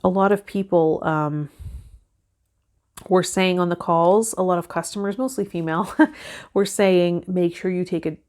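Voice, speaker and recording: A woman's voice: on the low side, steady tone, measured pace, darkish tone. A woman in her thirties talking reflectively and unhurriedly to camera as a tarot video host. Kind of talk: casual reflective vlog talk to camera, partly reading card meanings aloud. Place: indoors, small home filming set with fabric backdrop.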